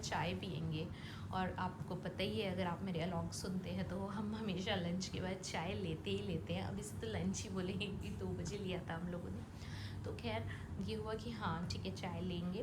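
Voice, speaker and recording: A young Indian woman giving a casual, diary-style account of her day as an audio vlogger, informally mixing in English words. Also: medium pitch. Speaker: daily audio vlogger, mother of a baby. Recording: roomy echo, clear background noise.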